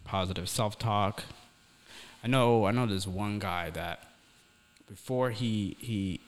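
A man's voice: fairly low pitch, slow pace. A young man from Somalia chatting casually as a podcast co-host, earnest and philosophical, often repeating words as he searches for the next one. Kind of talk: casual reflective conversation. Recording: echoey hall-like reverb.

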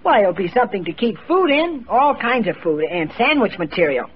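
A bumbling, excitable, stammering family man. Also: sits high, brisk. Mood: excitable, hapless. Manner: hesitant stammering, eager exclamations. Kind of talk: 1940s radio comedy acting. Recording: muffled, noisy old radio broadcast.

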